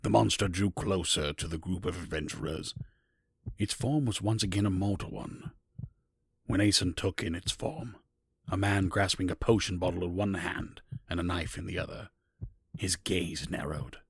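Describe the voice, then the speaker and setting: A man's voice: sits low, moderate pace, vocal fry, husky. A man narrating a scripted fantasy story as its narrator.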